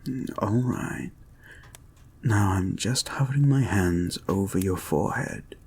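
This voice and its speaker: A man playing a creepy, soothing male therapist in an intimate audio roleplay, breathy and soft-spoken, with formal, deliberate phrasing that often drops toward a whisper. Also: lowish voice. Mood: soothing, intent. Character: creepy, soothing male experimental therapist, calmly controlling and intent. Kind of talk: slow intimate ASMR-like audio roleplay, guided hypnosis.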